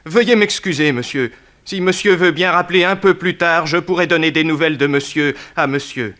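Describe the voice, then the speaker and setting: A man's voice: on the high side, a little fast, fairly bright tone, close to an everyday voice. An actor playing a deferential, sly manservant in a vintage radio drama, formal and obsequious in his address. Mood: flustered, polite. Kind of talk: 1960s French radio drama acting.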